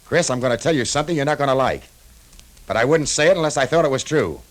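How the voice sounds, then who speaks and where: An actor playing a tough, shrewd cafe owner turned detective in a 1950s radio drama, terse and hard-boiled. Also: male voice, medium pitch, animated, fast talker, lightly acted. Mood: blunt, urgent.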